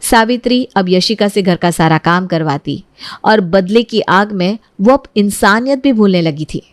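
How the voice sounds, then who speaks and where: A woman's voice: mid-range voice, a little slow, no room sound, clean studio sound. A woman narrating a moral story from a script.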